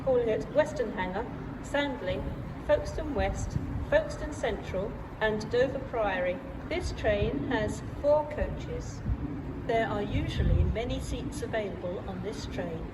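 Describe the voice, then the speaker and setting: A British woman reads formal, measured train announcements in separate scripted phrases over a railway station's public address system. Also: fairly high pitch, animated. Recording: distant, echoing station PA with clear noise.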